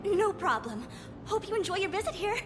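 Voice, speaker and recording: Very high female voice, chirpy polite game shop clerk, long reverb, music behind. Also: very animated, a little fast. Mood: polite, helpful. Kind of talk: video game voice acting.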